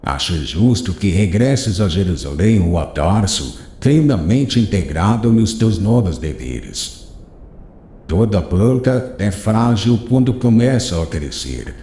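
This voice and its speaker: A venerable old teacher in a spoken audiobook, deep-voiced and warm-toned. His counsel rises and falls with lively pitch, slow and solemn. The room around him rings with echo. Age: older. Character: wise, gentle elderly male master. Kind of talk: audiobook dialogue reading.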